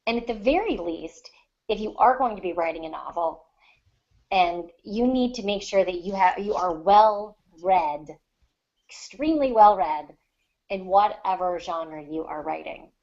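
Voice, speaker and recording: A woman's voice: mid-range voice, animated, measured pace, a little mellow. A woman co-hosting a podcast in casual discussion, giving writing advice in an emphatic, explanatory way. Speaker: podcast co-host, fiction writer. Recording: dull lo-fi online podcast audio.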